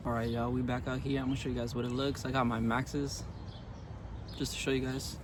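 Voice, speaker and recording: A young man with a voice raspy from illness, chatting casually to viewers as he reviews and styles clothes at home. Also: mid-range voice, little pitch movement, a little fast, noisy background. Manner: laid-back slangy talk to viewers. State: raspy from being sick. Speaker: fashion vlogger.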